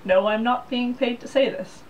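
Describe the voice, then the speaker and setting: A woman's voice: fairly high pitch, moderate pace, far from the mic, slight background noise, rough recording. Young woman vlogging, a bit off-mic. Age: young adult. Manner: plain, practical explaining. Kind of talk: casual talk to camera. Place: small room in a Japanese apartment, indoors. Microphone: camera mic, head-and-shoulders framing.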